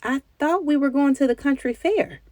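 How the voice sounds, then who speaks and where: A woman narrator acts out a young grandson in a storybook, eager and curious. The voice is lifted higher, excited and questioning, in a dry recording. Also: moderate pace, no echo, natural voice. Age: child. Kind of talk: children's storybook character voice.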